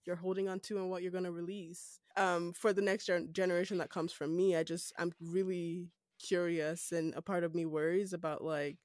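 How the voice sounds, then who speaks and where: A woman's voice: on the low side, flat delivery, normal speed, few pauses, darkish tone, no room sound, clean studio sound. A woman from Nigeria with a Nigerian accent, hosting a podcast and musing thoughtfully, with easy laughs. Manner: thoughtful, questioning, laughs easily. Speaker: podcast host. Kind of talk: casual conversation.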